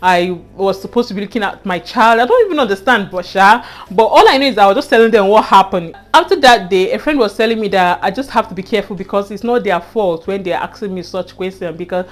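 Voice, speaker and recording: A woman's voice: mid-range voice, fast talker, hardly any pauses. A young Nigerian woman with a Nigerian accent, earnestly telling a personal story to her viewers and often repeating herself and adding "you know". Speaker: vlogger. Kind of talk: casual storytelling to camera.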